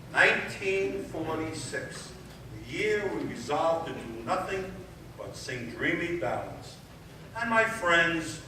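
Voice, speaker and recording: A man's voice: on the high side, noisy background. A man playing a breezy, folksy singing star hosting a 1940s radio show. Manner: folksy, jokey showman patter. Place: amateur stage show in a large echoey room. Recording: very echoey hall from far off, music.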